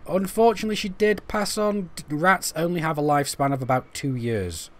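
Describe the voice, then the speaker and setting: A man's voice: a little high, fairly quick. A young British man casually commentating a live game stream, rambling and joking at his own expense while chatting to viewers. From Britain. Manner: rambling, self-deprecating, chats to viewers while fiddling with menus.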